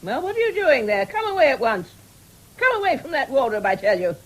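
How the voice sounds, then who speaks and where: An actress playing a querulous, suspicious and frightened old invalid mistress in a radio drama, with an imperious, fretful manner. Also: female voice, high voice, very animated, brisk. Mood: suspicious, scolding. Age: older. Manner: imperious, fretful, muttering and exclaiming. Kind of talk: 1970s radio drama acting.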